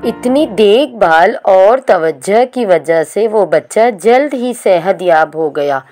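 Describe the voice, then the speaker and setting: A woman narrating a children's cartoon story in a slow, even storytelling manner. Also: mid-range voice, faint music behind.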